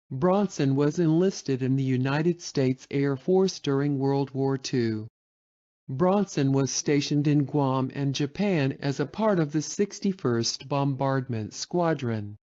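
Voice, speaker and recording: A man narrates a documentary in a steady, measured read. His voice sits a little high and sounds mellow and soft-edged. The audio is slightly dull and lo-fi.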